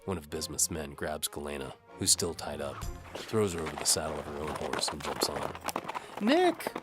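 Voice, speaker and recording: A man's voice: rumbling low, steady tone, a little fast. A man narrating an audio adventure in the first person as its hero, with a wry, hard-boiled, dramatic delivery. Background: music under the voice.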